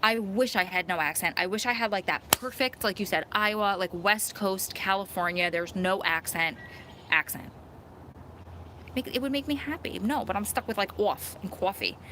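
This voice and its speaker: A woman's voice: mid-range voice, normal speed, noisy background. A woman in her thirties with a New York and New Jersey accent, chatting casually on a live stream, reading viewer comments and laughing at herself. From Long Island, New York. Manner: chatty, laughs often, self-mocking. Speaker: vlogger hosting a live Q&A.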